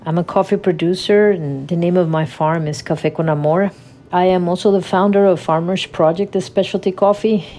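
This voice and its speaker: A woman's voice: fairly low pitch, fairly even intonation, brisk, dark tone, slight background noise. A woman, a coffee farmer telling her story in an interview, reflective and laughing at herself. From Costa Rica.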